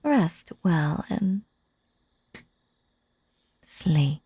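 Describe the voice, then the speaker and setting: Breathy, sinister lady magician, played by a woman. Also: fairly low pitch, very animated, mellow, no echo, heavily acted. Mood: tender, controlling. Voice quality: breathy, often dropping to a whisper. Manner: theatrical, doting, drawn-out hypnotic cooing. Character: sinister, possessive female stage magician, lovestruck and controlling. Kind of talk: roleplay audio drama, intimate second-person monologue.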